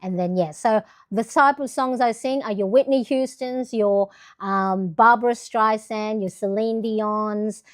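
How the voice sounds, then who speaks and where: A woman's voice: a little high, unhurried. A middle-aged woman from the Philippines chatting casually on a livestream, rambling with lots of fillers and restarts. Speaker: planner livestream host.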